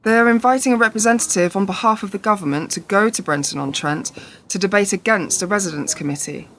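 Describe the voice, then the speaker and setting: A woman's voice: medium pitch, a bit bright, slight background noise. A woman playing a principled young colleague who challenges and moralises in a radio play.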